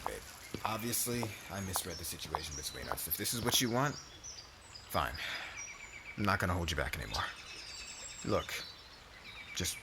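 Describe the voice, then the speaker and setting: A man playing a jealous, wounded young warrior in an ASMR roleplay, speaking in a brusque, halting way. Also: deep voice, background noise. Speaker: voice actor in an audio roleplay. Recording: loud outdoor insect and bird ambience.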